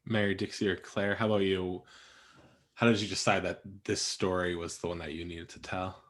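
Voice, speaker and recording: A man's voice: low-pitched, flat delivery, moderate pace, fairly bright tone. A man moderating a panel, putting questions to guests in a conversational way.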